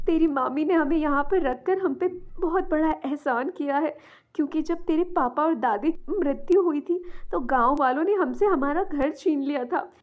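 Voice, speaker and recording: A woman voicing a gentle, resigned mother who soothes and explains patiently in a storybook tale. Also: high-pitched, highs cut off, close to an everyday voice. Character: gentle, resigned, poor widowed mother consoling her child.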